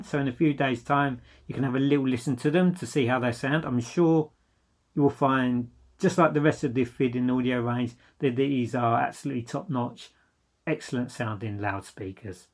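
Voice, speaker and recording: A man presenting an informal product review as a dealer, speaking in an enthusiastic, emphatic way. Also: medium pitch.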